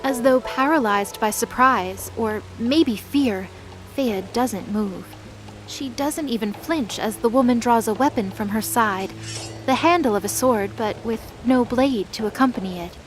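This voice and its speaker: A woman's voice: fairly high pitch, unhurried, soft background music, poor audio. A woman narrator reading a scripted dramatic fantasy story.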